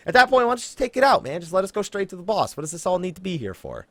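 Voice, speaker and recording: A man with a slightly high, very expressive voice hosts a casual gaming podcast. He banters and teases in a lively, conversational style, recorded close and dry with no room sound. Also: rapid-fire, no room sound. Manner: animated, teasing banter with co-host. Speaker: podcast host.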